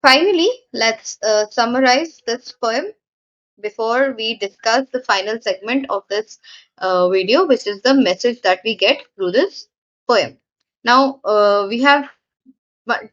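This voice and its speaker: Female teacher, higher pitch, patient and repetitive explainer; dull, muffled recording. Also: measured pace, fairly bright tone. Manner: patient, repeats points, frequent "okay" fillers. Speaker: English teacher. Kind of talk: explaining a poem for exam students.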